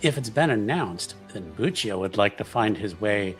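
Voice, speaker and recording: Lower-voiced man at a game table. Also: lively intonation, moderate pace, soft background music. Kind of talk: casual roleplay talk.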